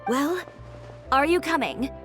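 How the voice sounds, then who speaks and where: A woman voicing a cool, blunt, self-assured young boutique owner in an anime game, clipped and deadpan. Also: high-pitched, takes it slowly. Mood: dry, determined. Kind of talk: anime game character dialogue. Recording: game music behind.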